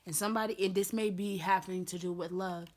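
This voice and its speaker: Chatty raspy-voiced woman reading tarot. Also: lowish voice, fairly even intonation, quick pace. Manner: chatty, repeats herself with many fillers. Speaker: tarot reader.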